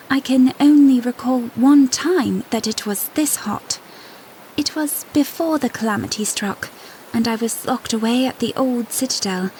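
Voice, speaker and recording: A woman playing a gentle, weary young princess in a fantasy audio drama, speaking softly and with measured politeness. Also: a little high, a little hiss or hum, low-quality recording. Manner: soft-spoken, measured. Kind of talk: fan audiodrama acting.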